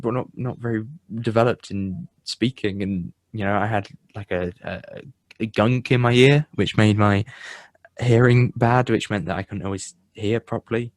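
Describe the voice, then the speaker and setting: A young man from the English Midlands with a Midlands accent, hosting a casual podcast chat, halting and full of fillers and restarts. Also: lowish voice, fairly even intonation, no echo. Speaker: podcast host. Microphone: headphones and home mic.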